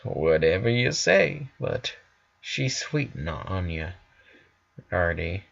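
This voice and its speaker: A man voicing a gentle but stern, teasing female country pony in a story reading. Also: deep voice, big pitch swings, slow pace, creaky, lo-fi sound, full character voice. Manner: folksy, gentle.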